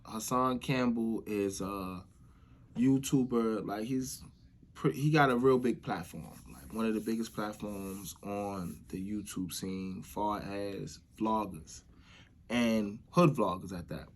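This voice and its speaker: A man's voice: lowish voice, takes it slowly. A man with an American accent giving casual spoken commentary as the host of a vlog. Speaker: vlogger hosting commentary show.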